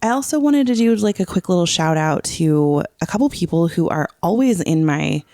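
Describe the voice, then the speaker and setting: A woman's voice: fairly low pitch, expressive, normal speed, dark tone, no echo, studio quality. A middle-aged woman co-hosting a podcast in an effusive, informal way, often saying "like" as she chats. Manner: effusive, informal, peppers talk with "like". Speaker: podcast co-host. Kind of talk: casual podcast chat.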